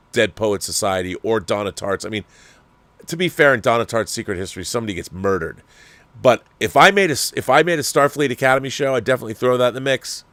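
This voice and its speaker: An older man who hosts his own channel, giving a rambling, opinionated rant in a casual, animated way. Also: on the low side, no echo. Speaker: channel host. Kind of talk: ranting commentary.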